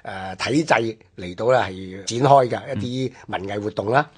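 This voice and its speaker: A man's voice: lowish voice, moderate pace, slightly rough. A man with a Hong Kong Cantonese accent co-hosting a history discussion, explaining in conversational, list-like phrases. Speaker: radio co-host.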